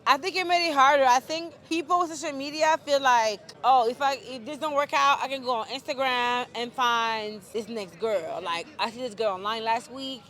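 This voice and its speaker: A woman's voice: sits high, normal speed, few pauses, a bit bright. A young woman from France, an outspoken and candid guest answering questions in a casual street interview outdoors on a city street.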